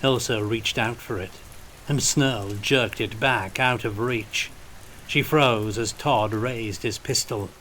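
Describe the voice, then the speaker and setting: A man narrating scripted fiction as an audiobook reader, in a deliberate, measured manner. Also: medium pitch, fairly bright tone, slight background noise, poor audio.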